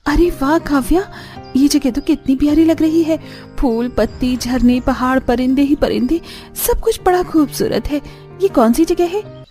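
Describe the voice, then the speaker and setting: A woman's voice: sits high, unhurried, mellow, soft background music, voice pushed up. A woman voicing an affectionate, cheerful young village daughter in a cartoon story, with a childlike, exclaiming manner. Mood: delighted.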